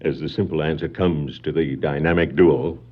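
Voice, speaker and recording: A man narrating a scripted superhero drama in 1960s radio style, suspenseful, with deliberate dramatic pauses. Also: rumbling low, steady tone. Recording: dull 1960s vinyl record sound.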